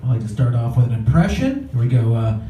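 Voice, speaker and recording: A man doing a stand-up comedy set for a live club audience, animated and jumping into high voices for the people he quotes. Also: fast. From Mexican-American. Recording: roomy, distant live-club mic with crowd laughter.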